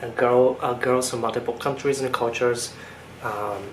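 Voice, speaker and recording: A man's voice: medium pitch, steady tone, normal speed. A young man from Japan with a Japanese accent, talking casually to camera as a vlogger, full of fillers and restarts. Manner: hesitant, many fillers and restarts. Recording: echoey room, faint background noise.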